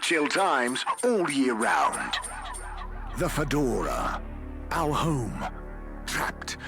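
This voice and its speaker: An actor playing a slick, salesy announcer for a game's promotional video, with dramatic pauses. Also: male voice, medium pitch, huge pitch swings, heavily acted. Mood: hyped promo. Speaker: advertisement narrator. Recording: music loud underneath.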